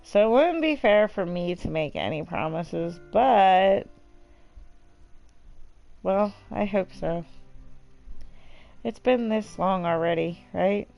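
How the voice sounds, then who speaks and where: A woman's voice: medium pitch, lively intonation, takes it slowly, gravelly, pauses often, darkish tone, lo-fi sound, voice pushed down. Woman playing bashful teenage friend, understated, hesitant, caring, visual novel dialogue, faint background music. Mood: hesitant, hopeful. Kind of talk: visual novel read-aloud with character voices.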